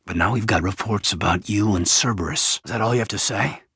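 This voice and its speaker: A man playing an earnest, loyal and conflicted space marine officer in a video game, with a husky voice and a sincere military manner. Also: fairly low pitch, fairly quick, sharp tone, no echo, studio quality, full character voice. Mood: hurt, reproachful. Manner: sincere, military, clipped and intense. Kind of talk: video game voice acting, dramatic dialogue.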